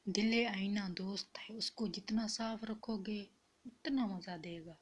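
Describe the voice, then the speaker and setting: A woman reading spiritual quotes as scripted narration, in a measured and deliberate manner. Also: mid-range voice.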